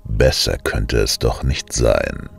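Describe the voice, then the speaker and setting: A man playing a cool, dismissive god in an audio drama, with a rough gravelly voice and a slow manner. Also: very deep voice, full character voice. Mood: cool, sardonic. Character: cool, dismissive male god with a sardonic air.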